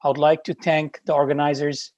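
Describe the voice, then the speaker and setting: A man giving a formal, solemn statement of solidarity as a guest speaker in measured phrases. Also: fairly high pitch, little pitch movement, a little mellow, no echo. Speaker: guest speaker, organization representative.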